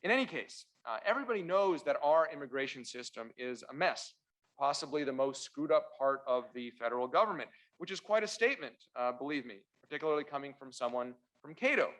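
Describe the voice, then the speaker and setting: A man born in Russia and raised in Canada, a constitutional lawyer giving opening remarks at a university debate, halting often on "uh" and restarts, with dry wry asides. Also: medium pitch, expressive, a bit bright, rough recording. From born in Russia, raised in central Ontario, Canada.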